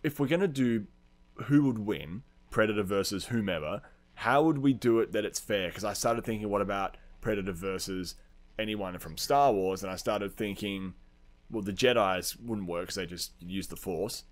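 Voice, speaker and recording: A man's voice: on the low side, moderate pace. A man co-hosting a podcast in casual chat, with a blunt, colloquial, sweary way of talking. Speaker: podcast co-host.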